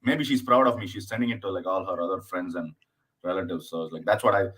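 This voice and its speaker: A man from southern India in his thirties, a stand-up comedian chatting casually as a podcast guest, halting with many fillers and restarts. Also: deep voice, a bit bright, breathy edge. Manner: acts out his mother's voice in anecdotes.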